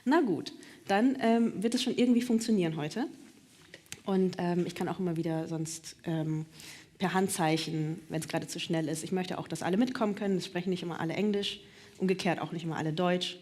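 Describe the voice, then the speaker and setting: A woman with a slightly low voice hosts a live stage interview. She thinks aloud as she goes, choosing her phrasing tentatively. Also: fairly quick, a little mellow. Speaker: talk host. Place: live stage event at a theatre.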